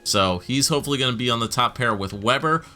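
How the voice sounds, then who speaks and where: A young man gives casual commentary on his hockey game series, chatty and upbeat, full of fillers and restarts. His voice is bright and forward. A faint bed of music runs behind him. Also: mid-range voice, expressive, fast talker. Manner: upbeat, chatty, many fillers and self-corrections. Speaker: gaming YouTuber.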